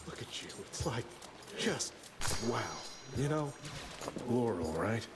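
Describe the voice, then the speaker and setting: A man's voice: medium pitch, animated, takes it slowly, very noisy. An actor playing a vain, self-adoring young man in a video game, languid and smug. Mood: smug, dreamy. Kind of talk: video game character acting. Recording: echoing reverb, loud music.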